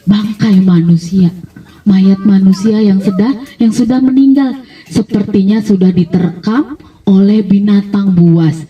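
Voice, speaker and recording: A woman's voice: medium pitch, steady tone, unhurried, warm tone, recorded from a distance, lo-fi sound, faint music behind. A woman telling a dramatic story to children, with colloquial asides and rhetorical questions.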